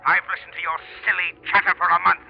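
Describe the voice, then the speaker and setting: A man's voice: sits high, flat delivery, quick pace, creaky, soft background music. An actor playing an exasperated hunting guest, a desperate man, in 1940s radio drama style. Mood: furious. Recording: dull old radio recording.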